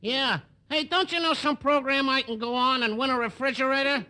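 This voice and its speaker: A nagging, pushy fellow from vintage radio comedy. His voice is very sharp and forceful, sitting unusually high. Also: a little fast, clear, resonant tone, full character voice. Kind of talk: 1950s radio comedy acting.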